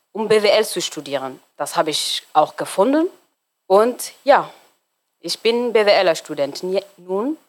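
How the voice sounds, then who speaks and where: A woman in her twenties from Somalia, German not her first language, talking as an interview guest and often pausing on fillers while searching for words. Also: fairly low pitch. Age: 20s. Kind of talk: casual interview talk.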